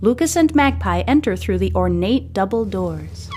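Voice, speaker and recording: A woman's voice: mid-range voice, animated, normal speed, dark tone. A woman hosting and narrating a serialized story podcast, reading in storybook style. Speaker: host and narrator. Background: music.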